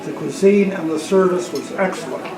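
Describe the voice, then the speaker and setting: A man's voice: high-pitched, normal speed. A man speaking informally from the floor as a member at a club meeting. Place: indoor luncheon room. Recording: distant mic, echoey, loud crowd.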